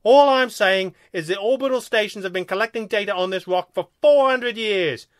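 Man playing a sulky male alien, high-pitched, emphatic, whiny, pouting, easily offended, audiobook character, dry close sound. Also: normal speed, a bit bright, no room sound. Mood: indignant.

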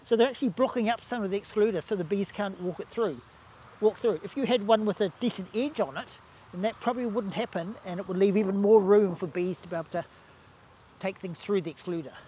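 High-voiced older man explaining outdoors. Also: quick pace, warm tone, a little hiss or hum. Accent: New Zealand. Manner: explanatory, with restarts and hand gestures. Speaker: bee scientist. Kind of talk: outdoor demonstration talk. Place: garden outdoors beside beehives.

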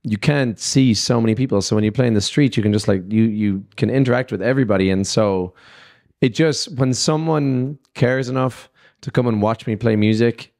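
A man's voice: mid-range voice, moderate pace, creaky. A young man, a musician guest, talking casually in an interview into a handheld mic in a festival backstage lounge, loose and rambling with lots of fillers. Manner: relaxed, rambling, many "like" and "you know" fillers.

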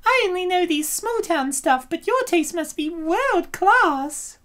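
Casting: actress as very high-voiced young cartoon woman, anxious, eager, over-earnest. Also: animated, slow, a bit bright, heavily acted. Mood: eager, coy. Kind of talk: comic dub acting.

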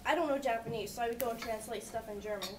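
A woman's voice: a little high, very fast, over a noisy crowd. A woman in an audience asking a casual question in a tentative, apologetic way. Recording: distant camera mic, room noise.

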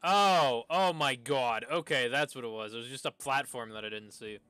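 A man's voice: mid-range voice, normal speed, sharp tone, smooth, no echo. A man streaming a video game with casual, laid-back, rambling commentary.

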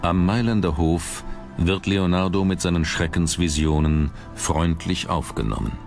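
Really low guy voice narrating a documentary, measured and dramatic, music playing loud behind him. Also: creaky. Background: background music.

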